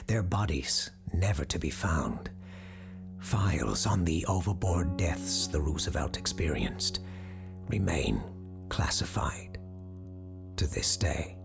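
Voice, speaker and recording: A woman playing a grave supernatural radio host and storyteller, speaking in a measured, solemn, deliberate way in a scripted audio drama. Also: a bass voice, lively intonation, gravelly, full character voice. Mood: solemn, eerie. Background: music under the voice.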